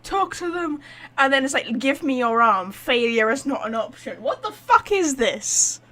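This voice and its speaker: A woman gives casual playthrough commentary as she streams. Her voice is high and swings widely, with an expressive, sweary, teasing manner. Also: normal speed. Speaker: game streamer.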